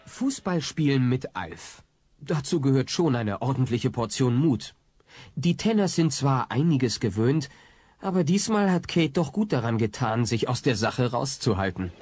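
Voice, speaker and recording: An actor playing a wry storyteller, giving scripted narration for a German comedy radio play. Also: male voice, mid-range voice, big pitch swings, a little slow, a little mellow, slight background noise.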